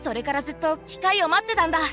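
A woman's voice: very high-pitched, expressive, rapid-fire, pushed and bright, faint music behind, exaggerated voice. A woman voicing an earnest, trusting young village boy in a video game, speaking eagerly. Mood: insistent.

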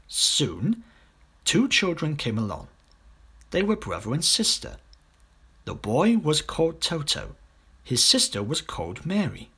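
Male storybook narrator, slightly husky, animated intonation, scripted children's read-aloud. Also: fairly high pitch, a little slow, pauses often. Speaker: audiobook reader.

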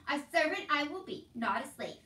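A woman's voice: high voice, big pitch swings, moderate pace, pushed and bright, voice pushed up, heavily acted. A woman voicing a hard-of-hearing twelve-year-old servant girl from a storybook, blunt, rustic and full of hopeful wonder. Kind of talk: storybook read-aloud character voice. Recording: roomy echo, distant from mic.

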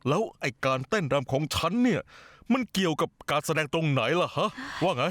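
A man's voice: on the high side, expressive, fairly quick, a bit bright, no echo, clean studio sound. A man dubbing an irritable young man in a television drama, speaking in an annoyed and sarcastic way. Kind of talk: dubbed television drama acting.

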